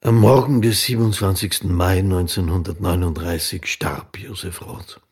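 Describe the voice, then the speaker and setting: Very husky, low chronicler. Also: male voice, fairly even intonation, normal speed, darkish tone. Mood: sober. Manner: sober, factual. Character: sober male documentary chronicler. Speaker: narrator. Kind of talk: scripted radio drama narration.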